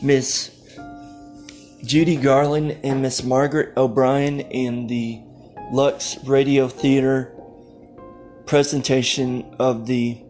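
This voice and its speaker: Casual male podcast host. Slow, halting delivery, medium pitch, faint music underneath. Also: flat delivery, darkish tone.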